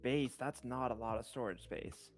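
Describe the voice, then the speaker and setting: A man's voice: mid-range voice, moderate pace, over music. A man co-hosting a gaming podcast, talking casually about games and tech. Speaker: podcast co-host.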